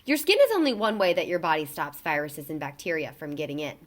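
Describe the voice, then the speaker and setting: A woman reading a children's picture book aloud in an expressive, emphatic storytelling delivery. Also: low-pitched, quick pace.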